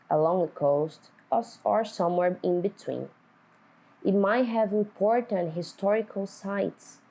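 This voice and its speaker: A woman teacher reading a picture book aloud to children, speaking deliberately and putting simple questions to them. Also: on the low side, mellow, poor audio. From Brazil.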